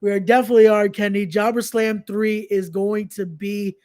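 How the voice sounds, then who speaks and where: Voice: mid-range voice, little pitch movement, moderate pace, darkish tone. A young adult podcast host chatting casually on a live stream, promoting events and often repeating phrases with informal, slangy asides.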